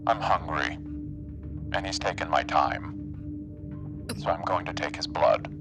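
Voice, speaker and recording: A cold, calm male vampire, voiced by a man at a tabletop game, pitched extremely low. He speaks in terse, deadpan statements, hungry and stoic. Clear music runs behind the voice. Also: unhurried. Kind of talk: improvised tabletop roleplay acting.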